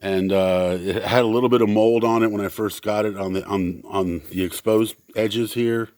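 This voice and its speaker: A man giving a casual, unscripted equipment demonstration as a seller, speaking in a halting way as he thinks aloud. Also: on the low side, steady tone, a little mellow, no room sound, clean studio sound.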